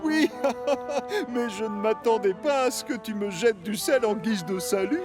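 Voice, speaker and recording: A man voicing a friendly, sensitive male locomotive in a children's cartoon, expressive and good-natured. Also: high voice, unhurried. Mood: amused, fond. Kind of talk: French-language children's cartoon dub. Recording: music behind the voice.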